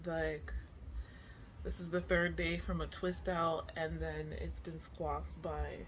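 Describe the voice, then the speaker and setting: A middle-aged vlogger chats in an unhurried, candid way, as in a personal video diary. The pitch sits in a middle range and the wording is loose and informal. Clear ambient noise runs behind the voice. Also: flat delivery, fairly bright tone. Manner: candid, informal, swears casually. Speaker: book vlogger. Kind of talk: casual chatty video diary.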